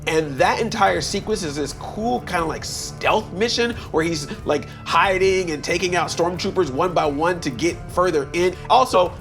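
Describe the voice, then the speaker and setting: A man co-hosting a talk show, speaking as an enthusiastic, excitable fan. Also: high-pitched, moderate pace, few pauses, soft background music. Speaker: co-host.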